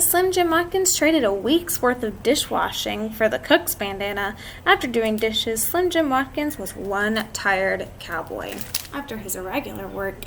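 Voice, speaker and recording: Lady reading a kids book, higher voice, takes her time, chatty asides, pretty noisy recording. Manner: expressive, drawn-out reading, talking to young listeners. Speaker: read-aloud storyteller. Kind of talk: picture-book read-aloud for children with chatty asides. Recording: clearly noisy amateur recording.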